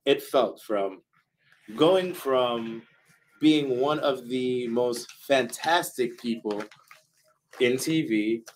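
Young male host, playful and jokey, mid pitch, faint hiss behind. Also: extremely slow, rough recording. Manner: playful, joking, excitable. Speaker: stream host. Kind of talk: casual livestream hosting. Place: small streaming studio.

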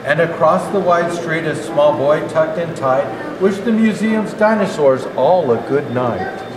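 An older man reading a children's rhyming storybook aloud as Santa Claus, in a measured, expressive delivery. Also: fairly high pitch. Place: indoor natural history museum hall. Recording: echoey hall, clearly noisy background.

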